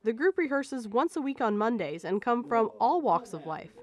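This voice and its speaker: Lively woman narrating a TV feature. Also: fairly high pitch, moderate pace. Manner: bright, polished voice-over delivery. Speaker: program narrator. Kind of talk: scripted narration.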